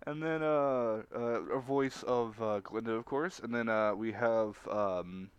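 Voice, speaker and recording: Man, livestream host, unhurried, smooth voice, informal, halting delivery. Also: mid-range voice, no room sound, muffled recording. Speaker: livestream host and show organizer. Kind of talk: casual chat on a group livestream.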